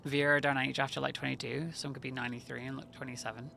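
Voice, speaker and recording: A man's voice: mid-range voice, level tone, fast talker, creaky, bright, forceful tone, a little hiss or hum. A man streaming a game and chatting over it with joking asides. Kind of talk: live game stream commentary.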